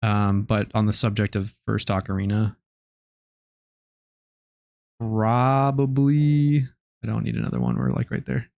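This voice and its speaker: Young male streamer, low gravelly voice, dry close mic, laid-back late-night chatter. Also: a little slow, long pauses, no echo. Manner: low-key, relaxed, rambling with many fillers. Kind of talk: casual live-stream chat while gaming.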